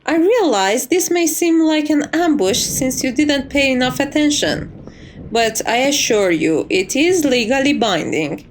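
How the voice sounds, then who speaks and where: A woman's voice: high voice, lively intonation, unhurried, slight background noise. A woman playing a composed, courteous vampire's maid in an ASMR roleplay, formal and reassuring with a wry tease. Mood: wry, matter-of-fact.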